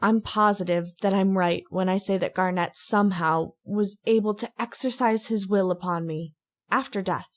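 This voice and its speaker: A woman playing a fearful, haunted lady in an old-time radio drama, earnest and halting, pleading as she speaks. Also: medium pitch, fairly even intonation, natural voice. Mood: sorrowful confiding.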